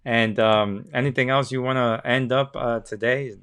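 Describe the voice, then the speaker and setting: A man's voice: medium pitch, steady tone, moderate pace, a bit bright, clean tone. A man from El Salvador hosting a live credit podcast, reading out viewers' questions in an upbeat way. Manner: reads out listener questions, upbeat. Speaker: credit repair host.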